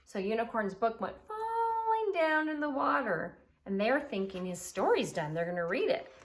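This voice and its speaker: Animated woman reading to children. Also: fairly high pitch, moderate pace. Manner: expressive, explaining simply to children. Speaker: read-aloud storyteller and teacher. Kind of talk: expressive children's read-aloud with teaching asides.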